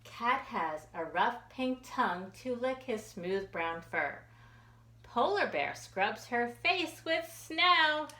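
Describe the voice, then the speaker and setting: A woman children's librarian reading a picture book aloud for young children in an expressive, sing-song questioning way. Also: a little high, takes it slowly, reverberant. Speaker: children's librarian hosting storytime.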